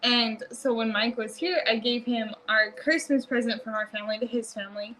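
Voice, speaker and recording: A woman's voice: on the high side, steady tone, normal speed, a bit bright, slight background noise, rough recording. A woman in her twenties co-hosting a casual family livestream, talking informally and conversationally. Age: 20s. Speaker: family vlog co-host.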